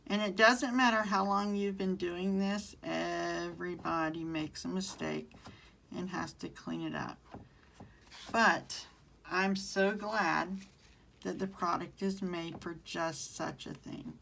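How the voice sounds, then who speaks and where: Bright-voiced Southern woman demonstrating crafts. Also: on the low side, steady tone, slow, gravelly, a little hiss or hum. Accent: Southern American. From southern United States. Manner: chatty, talks to viewers and answers their comments. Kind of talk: casual live craft tutorial.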